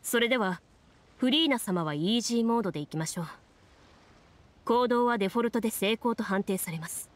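A woman's voice: on the high side, natural voice. Composed, formal young woman actress as game master. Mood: calm, formal. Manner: calm, formal, deliberate explaining. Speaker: game master narrating a tabletop game. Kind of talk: anime video game acting, storytelling narration. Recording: music under the voice.

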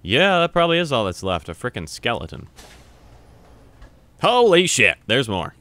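Excitable young man gaming, bright lively voice. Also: mid-range voice, moderate pace. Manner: loud startled yelps and swearing; reads on-screen text aloud. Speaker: gamer playing on camera. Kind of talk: casual let's-play commentary.

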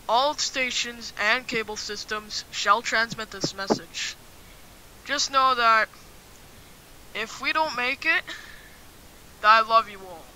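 A man playing an emotional radio newscaster facing doomsday, earnest and halting, in an amateur acted news bulletin with hiss. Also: high voice, pushed and bright, exaggerated voice, poor audio. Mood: distressed, resigned.

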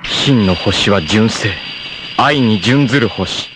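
A man's voice: fairly low pitch, takes it slowly. A man voicing a proud, stoic young male martial artist who is dying, in 1980s TV anime style, speaking in grave, solemn declarations with sudden anguished outbursts. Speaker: voice actor. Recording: old TV anime audio with hiss.

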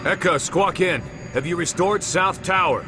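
An actor playing a tough, determined lieutenant in a video game, with terse, intense military speech. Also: male voice, sits high, normal speed, background noise, close to an everyday voice. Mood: urgent. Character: tough, determined male soldier, a lieutenant in battle. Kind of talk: dramatic video game voice acting.

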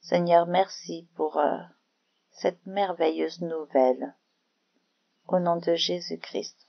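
A woman's voice: on the low side, fairly even intonation, slow pace, creaky, no room sound, lo-fi sound. A woman telling and explaining Bible stories to children in a simple, explanatory way, as if speaking to one child. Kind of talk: narrated children's Bible lesson with prayer.

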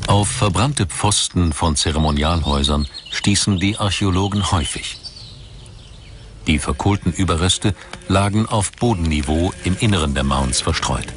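A man's voice: low voice, normal speed, noisy background. A man reading scripted documentary narration as the narrator. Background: music behind the voice.

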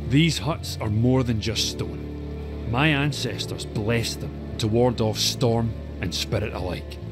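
An actor playing an older, weathered hillman and protective father in a video game, gruff and wry with folksy phrasing. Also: mid-range voice, slow, music in the background, close to an everyday voice. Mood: grave, steady. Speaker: game character.

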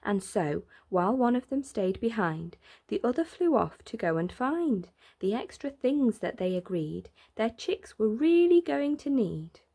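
A woman reading a rhyming children's picture book aloud in an expressive, deliberate way, drawing out words for emphasis. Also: on the high side, creaky, slightly rough. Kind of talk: expressive read-aloud of a rhyming bedtime story.

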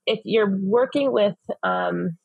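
Husky, gravelly-voiced woman from Phoenix. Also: mid-range voice, huge pitch swings, slow pace, dry recording, phone-quality sound. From West Phoenix, United States. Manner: earnest, many fillers and restarts. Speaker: ministry leader, guest. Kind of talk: reflective interview answers. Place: home office, indoors.

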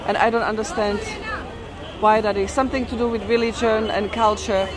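A woman's voice: mid-range voice, little pitch movement, a little fast. A woman travel vlogger giving casual, enthusiastic walking-tour commentary outdoors. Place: outdoors on a crowded market street. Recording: outdoor street with heavy crowd and traffic. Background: busy crowd, vehicles.

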